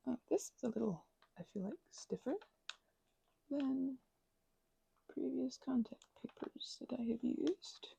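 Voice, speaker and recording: A woman in a soft-spoken ASMR crafting ramble, talking close to the microphone in a meandering way and often slipping into whispers. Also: fairly high pitch, creaky, slightly rough, no echo, rough recording.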